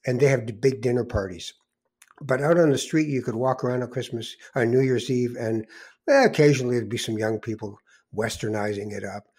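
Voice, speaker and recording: A man's voice: medium pitch, brisk, a little mellow, breathy edge. An older man with a Canadian accent hosting a podcast in a casual, conversational way, with reflective, rambling storytelling. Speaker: podcast host.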